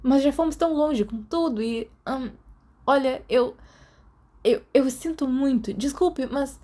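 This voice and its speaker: A woman acts out an anxious, apologetic Brazilian teenage wizard in a storybook reading. He is earnest and halting, tripping over his words in a mellow voice. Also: sits high, pitched above the performer's own voice. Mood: panicky, apologetic. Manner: halting, stammering.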